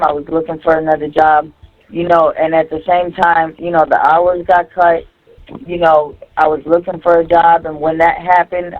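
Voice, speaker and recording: A dull, lo-fi phone recording carries a woman's voice. She talks casually and lays things out step by step, as if giving instructions. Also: lowish voice. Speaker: caller on a jail phone call. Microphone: telephone.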